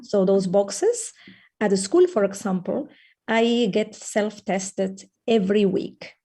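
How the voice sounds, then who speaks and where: A woman from Peru speaks over a video call, her voice mellow and relaxed. She talks in a halting, thoughtful way, often filling gaps with "uh" and "um" as she explains things. She sounds like a preschool teacher sharing her experience in a webinar. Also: medium pitch. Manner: hesitant, many fillers. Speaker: early childhood teacher. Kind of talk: webinar conversation. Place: lives in the Netherlands.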